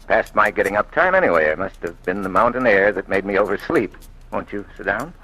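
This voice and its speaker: A deep-voiced gentleman detective from a vintage radio mystery. He is measured and matter-of-fact, with lively swings in his intonation. Music runs along with the old recording. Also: slightly rough, heavily acted, poor audio. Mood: courteous, calm. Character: blind male detective, calm, courteous, firm, narrating his case. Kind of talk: 1940s radio drama acting and first-person narration.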